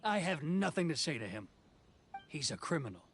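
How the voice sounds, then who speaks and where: A man's voice: on the high side, sing-song, normal speed, fairly bright tone, slightly rough, exaggerated voice. A man voicing a stern, proud swordsman officer in a video game, clipped and haughty in delivery. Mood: dismissive. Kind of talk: English video game voice acting.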